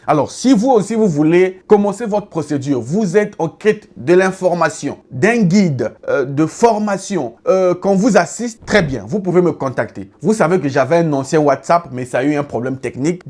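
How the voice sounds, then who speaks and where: A man's voice: fairly high pitch, a little mellow. A man hosting his own video channel, talking straight to camera in an upbeat, emphatic way and repeating phrases for emphasis. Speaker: YouTube channel host giving immigration advice. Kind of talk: enthusiastic direct-to-camera pep talk.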